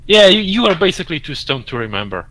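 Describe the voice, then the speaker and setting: Bright-voiced man with a Russian accent. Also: on the high side, big pitch swings. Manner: halting, repeats words, swears casually. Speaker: podcast co-host. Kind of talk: casual gaming podcast chat.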